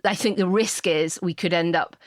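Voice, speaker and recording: A woman with a low voice takes part in an informal political discussion as a policy commentator. She explains her points frankly, and the sound is dry, with very little room around it. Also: normal speed, no echo.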